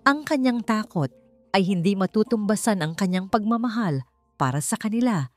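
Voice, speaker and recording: A woman's voice: medium pitch, lively intonation, moderate pace, faint music behind. A woman narrator reading a dramatic scripted romance story.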